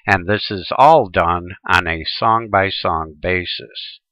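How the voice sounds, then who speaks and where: A man's voice: deep voice, slow pace, pushed and bright, dry recording. A man presenting a tutorial in scripted, instructional narration.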